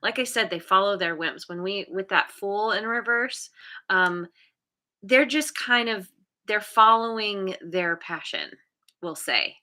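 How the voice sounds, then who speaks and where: Slow, bright-voiced woman reading tarot. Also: medium pitch. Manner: hesitant, many fillers and restarts; chatty, laughs at herself. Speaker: tarot reader.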